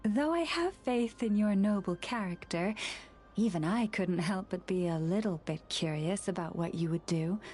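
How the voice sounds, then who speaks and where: A woman voicing a gentle, devout young woman in a video game, speaking in a polite, formal and composed manner. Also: medium pitch, normal speed, slight background noise, close to an everyday voice. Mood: gently playful. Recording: music behind the voice.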